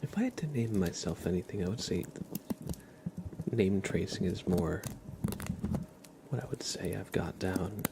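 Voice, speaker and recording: A man with a gravelly, fry-laden voice speaks softly as an ASMR host, often slipping into a whisper. He murmurs gently and haltingly, close to the microphone. His register sits on the low side, and clear background noise with clicking runs behind him. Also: expressive. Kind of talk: soft-spoken, half-whispered ASMR chat and playful roleplay.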